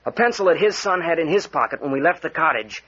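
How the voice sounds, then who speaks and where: Earnest young american hothead, sharp voice, urgent, muffled old radio serial. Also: male voice, fairly high pitch, animated, quick pace, breathy edge, heavily acted. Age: young adult. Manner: urgent, accusing, forthright. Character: earnest, hot-headed young man telling his story. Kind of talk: 1940s American radio acting. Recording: muffled vintage radio recording.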